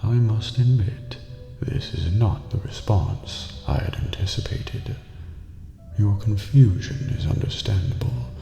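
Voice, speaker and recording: Eerie, courteous elderly prison master for game ASMR: breathy, measured, unhurried, muffled in a long echo. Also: male voice, mellow, exaggerated voice. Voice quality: deep, breathy, creaky near-whisper. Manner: slow, formal, measured, cryptic. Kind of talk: scripted ASMR roleplay based on a video game. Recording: reverberant hall, muffled, music behind. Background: music.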